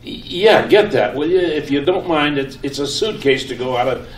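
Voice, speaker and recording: A man's voice: medium pitch, expressive, moderate pace, close to an everyday voice. A man playing a harried husband in an old-time radio comedy sketch, stammering and repeating himself. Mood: harried, flustered. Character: flustered, harried husband rushing to catch a taxi. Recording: echoey room, distant mic, faint noise.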